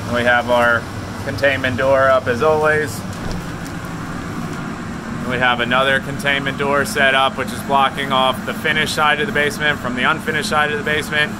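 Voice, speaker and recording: Young man, casual work vlog, phone at arm's length, basement, loud air machine hum behind. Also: mid-range voice, level tone, moderate pace. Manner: explanatory, many "um" and "uh" fillers, quizzes the viewer. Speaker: operations manager of a remediation company. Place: basement under renovation, indoors. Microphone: phone held at arm's length. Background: loud air scrubber machine hum.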